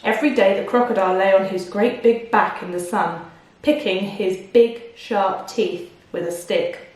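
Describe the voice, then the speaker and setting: Expressive woman storyteller in an echoey room. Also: mid-range voice. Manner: expressive, pausing to question young listeners. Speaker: teacher reading aloud to children. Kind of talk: children's storybook narration with asides. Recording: very echoey room, distant microphone.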